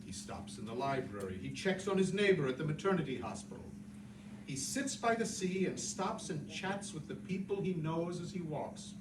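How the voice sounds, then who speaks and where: A man's voice: fairly high pitch, expressive, moderate pace, lightly acted. A man playing a genial, self-satisfied Irish novelist who tells his story to an audience with chatty, wry asides. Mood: wry, storytelling. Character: James Joyce, a genial, boastful, digressing Irish novelist. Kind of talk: theatrical storytelling monologue to an audience. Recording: echoey, distant, noisy room recording.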